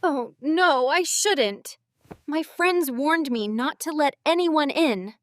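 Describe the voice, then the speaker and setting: A woman voicing a sweet, trusting young princess, gentle and polite, in an animated fairy tale. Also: high voice, slow pace, full character voice.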